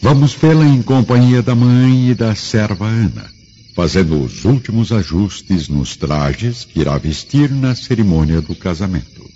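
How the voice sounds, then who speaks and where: A solemn male radio narrator with a Brazilian accent speaks in grand, theatrical style. His voice is deep and widely inflected. The slightly dull recording gives it a radio broadcast feel. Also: animated, measured pace, mellow, a little hiss or hum. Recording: muffled radio broadcast with music. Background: clear background music.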